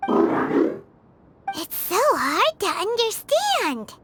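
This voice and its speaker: Tiny squeaky game fairy, extremely high, swooping intonation, eager and friendly, childlike. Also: female voice, huge pitch swings, extremely slow, exaggerated voice. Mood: puzzled. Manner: exclamatory, speaks of self in third person. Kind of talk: anime-style video game voice acting. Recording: loud game music behind the voice.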